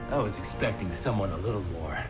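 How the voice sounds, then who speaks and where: An actor playing a swaggering, cynical criminal in a video game, theatrical and sardonic. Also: male voice, low voice, expressive, quick pace. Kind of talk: video game villain acting. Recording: long echo, music underneath.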